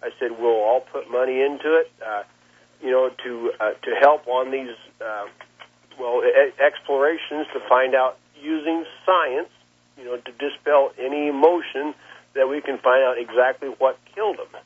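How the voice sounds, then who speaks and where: Man, husky voice, plain-spoken, halting with repeated words, muffled telephone sound. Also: medium pitch. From Nebraska, United States. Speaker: cattle rancher being interviewed. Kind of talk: interview account, conversational. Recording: muffled, lo-fi phone interview line.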